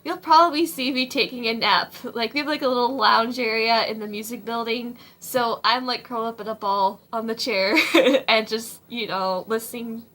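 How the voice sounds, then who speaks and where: A young woman in her twenties, slightly hoarse, giving casual advice from her home kitchen in a rambling way, with many ums and likes. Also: a little high, fairly even intonation, moderate pace, a bit bright. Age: 20s. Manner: rambling, full of ums and likes, frequent laughs. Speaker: vlogger. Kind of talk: casual faith-based advice vlog.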